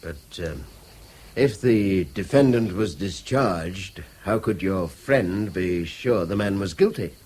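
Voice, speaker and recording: A man's voice: low-pitched, animated, slow pace, darkish tone, slightly rough, full character voice. A British actor in a 1970s radio drama playing a guarded, conscientious man with a polite, hesitant manner. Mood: quietly suspicious.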